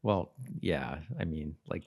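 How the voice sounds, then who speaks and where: A man co-hosting a podcast in casual, informal chat with a halting delivery. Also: extremely low pitch, creaky, darkish tone, breathy edge. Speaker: podcast co-host.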